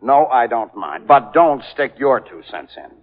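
An actor playing a gruff, impatient police commissioner in an old radio drama, curt and blustering. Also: male voice, medium pitch, very animated, measured pace. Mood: exasperated. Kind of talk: 1940s radio acting. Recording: muffled old radio recording.

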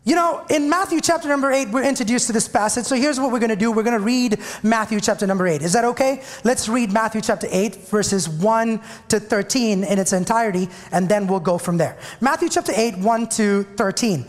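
A man's voice: high voice, a little fast, hardly any pauses, big-room sound. A man from India with an Indian English accent, a pastor giving a chatty, joking sermon to his congregation. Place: church sanctuary.

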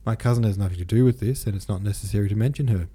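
Man reading as anguished young count, dark mellow voice. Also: fairly low pitch, normal speed, slightly rough. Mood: strained, defensive. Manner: anguished, questioning inner monologue. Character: distraught young Russian count losing at cards. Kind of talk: audiobook character reading.

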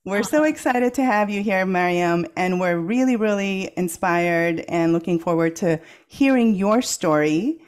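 A woman's voice: on the low side, unhurried, hardly any pauses, darkish tone, clear, resonant tone. A woman hosting an interview podcast, enthusiastic and effusive with her guest. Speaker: podcast host.

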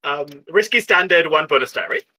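A man's voice: on the high side, expressive, brisk, sharp tone, rough recording. A man playing a tabletop game, chatting casually and playfully as he thinks aloud. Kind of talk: casual improvised game chat.

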